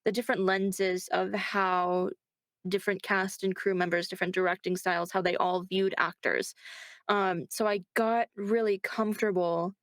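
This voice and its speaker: A woman's voice: mid-range voice, little pitch movement, normal speed, dry recording. A woman voice actor being interviewed, talking conversationally and reflectively, often breaking off to correct herself. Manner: chatty, self-interrupting, reflective.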